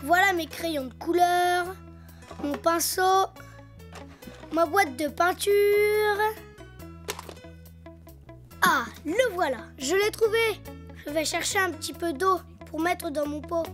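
A very high, childlike cartoon voice for a little boy donkey. He chatters to himself at an unhurried pace, cheerful, imaginative and expressive. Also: faint music behind, exaggerated voice. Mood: cheerful, busy.

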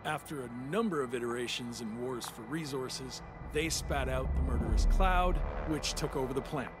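A man's voice: medium pitch, normal speed, over music, close to an everyday voice. A man playing a doubting, stubborn space engineer in a video game, argumentative in manner. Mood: pressing. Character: skeptical, stubborn male engineer on a space mission. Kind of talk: dramatic video game dialogue.

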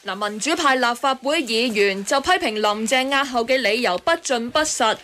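A woman's voice: a little high, animated, moderate pace, sharp tone. A woman playing a well-informed, critical character who explains things pointedly in a radio drama. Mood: pointed, explaining.

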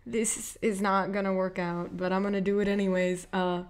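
A young woman from Texas speaks in a scratchy, mid-pitched voice. She chats in a self-deprecating way, keeping fairly quiet in a room at night. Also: little pitch movement, normal speed, smooth. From United States, Texas.

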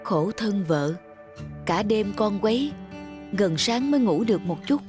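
Casting: devoted young dad in an animated family story, gentle, solicitous delivery, music underneath. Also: male voice, sits high, measured pace. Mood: sympathetic. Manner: tender, solicitous. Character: devoted, gentle young husband and new father. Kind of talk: cartoon voice acting.

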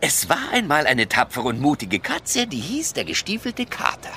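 A man playing a scrappy, loyal male raven in an audio drama, with an excitable delivery. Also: fairly high pitch, normal speed, slight background noise, full character voice. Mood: storytelling. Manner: excitable, exclamatory. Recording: background music under the voice.

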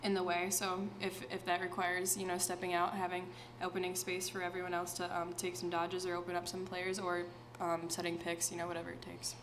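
A woman's voice: on the low side, completely flat delivery, a little fast, few pauses, background noise. A young woman college player giving modest, filler-laden answers at a post-game press conference. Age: young adult. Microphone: table microphone.

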